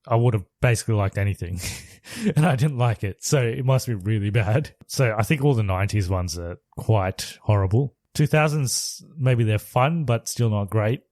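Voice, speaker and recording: A man co-hosting a podcast in casual chat, relaxed and often laughing as he steers the talk. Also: medium pitch, dry recording, clean studio sound. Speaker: podcast co-host.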